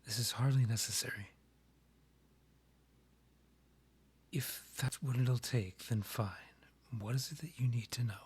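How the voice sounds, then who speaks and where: A man playing a proud, guarded vampire king in a romantic ASMR roleplay, with a breathy voice often near a whisper and a formal, courtly, halting manner. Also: medium pitch, fairly even intonation, a little mellow. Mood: flustered, guarded.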